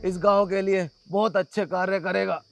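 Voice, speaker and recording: A man's voice: high-pitched, little pitch movement, moderate pace, gravelly, faint music behind. A man playing an earnest old great-grandfather addressing villagers in a Hindi comedy sketch.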